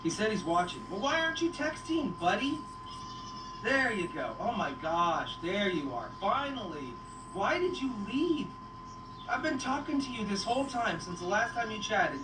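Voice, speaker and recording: A woman's voice: medium pitch, big pitch swings, normal speed. A woman hosting a casual livestream on a goat farm, playfully teasing and chatting to viewers and animals. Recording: echoey, noisy farm livestream, distant mic.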